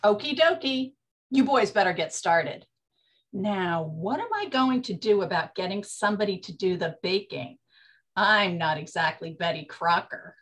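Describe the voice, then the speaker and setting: An actress playing a brash, slangy speakeasy proprietress of the 1920s in a comic period drama. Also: female voice, medium pitch, lively intonation, unhurried, lightly acted. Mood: bossy, brisk. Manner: bossy, slangy. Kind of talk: period comedy radio-play acting.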